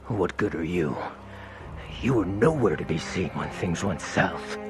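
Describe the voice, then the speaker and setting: An actor playing a bitter, vengeful wounded guard in a video game, speaking in a strained, accusing way. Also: male voice, low voice, sing-song, unhurried, music in the background. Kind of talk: dramatic game cutscene acting.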